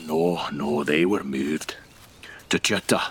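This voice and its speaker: An actor playing an elderly, gruff great-grandfather and retired railwayman in an audio drama, speaking in folksy, old-fashioned phrases. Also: a bass voice, slow, fairly bright tone, heavily acted. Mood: matter-of-fact. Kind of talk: naturalistic audio drama acting.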